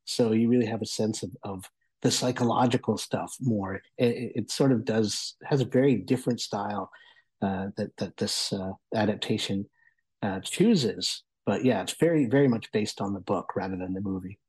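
A man in his forties in a conversational radio discussion, speaking in a halting, thoughtful way as a contributor. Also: lowish voice, darkish tone, breathy edge, no room sound, rough recording. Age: 40s. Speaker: audio entertainment and accessible technology contributor.

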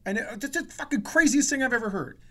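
A man's voice: very high voice, lively intonation, fast talker, a bit bright. A man in his forties telling comic stories as a podcast host, in an exasperated, profane, ranting manner. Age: 40s. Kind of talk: comedic storytelling in casual chat.

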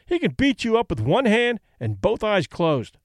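A man reading an audiobook, voicing a gruff, impatient male fight promoter who snaps and blusters. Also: high voice, huge pitch swings, normal speed, no echo, studio quality, voice pushed up, full character voice. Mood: snarling. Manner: snapping, blustering.

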